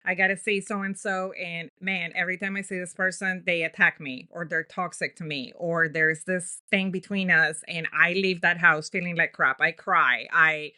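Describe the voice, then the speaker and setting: Bright-voiced woman therapist from Colombia. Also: on the low side, steady tone, normal speed, hardly any pauses. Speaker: therapist and podcast host. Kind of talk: casual podcast conversation.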